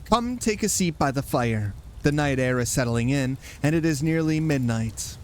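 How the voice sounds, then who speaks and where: A man's voice: medium pitch, animated, normal speed. Male horror host with faint background noise. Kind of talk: scripted campfire-style horror introduction.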